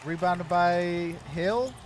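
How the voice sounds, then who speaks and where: A man's voice: high-pitched, extremely slow, a crowd in the background, noisy background. A man streaming casual play-by-play of a basketball game.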